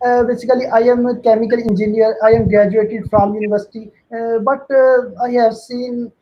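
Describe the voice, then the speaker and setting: A man's voice: extremely high pitch, little pitch movement, a little fast. Hesitant Pakistani man on a muffled call. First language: Urdu. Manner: hesitant, many "uh"s and repeated "yes". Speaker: student. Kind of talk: online tutoring session. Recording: muffled call audio with faint noise.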